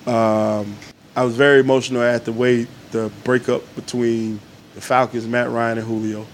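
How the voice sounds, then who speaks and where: A man's voice: mid-range voice, flat delivery, moderate pace, slight background noise. A middle-aged man, a podcast guest telling loose, joking, profane stories in casual chat. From Atlanta, Georgia. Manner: laid-back, joking, profane storytelling.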